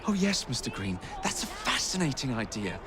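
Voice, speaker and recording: A man's voice: medium pitch, sing-song, normal speed, over music, a crowd in the background, close to an everyday voice. An actor playing a playful young male assassin who mocks his sister. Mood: teasing. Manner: mocking, playful. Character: teasing young male assassin, the woman's brother.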